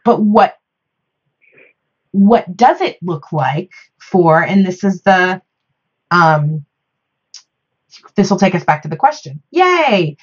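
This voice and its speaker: An American woman in a reflective podcast discussion, speaking thoughtfully and haltingly with pauses between phrases. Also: fairly low pitch, big pitch swings, slightly rough, lo-fi sound.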